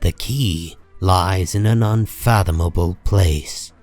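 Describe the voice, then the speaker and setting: A man narrating a comic book drama from a script in a grave, deliberate manner. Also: deep voice, darkish tone, faint music behind.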